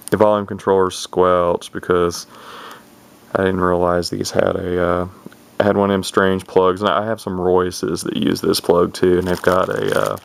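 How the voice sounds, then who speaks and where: Low-voiced, gravelly man rambling casually. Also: slight background noise. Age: 30s. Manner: rambling, folksy, thinking aloud. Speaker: vintage CB radio collector showing an unboxing. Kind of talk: casual unscripted product commentary. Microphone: handheld camera's built-in microphone.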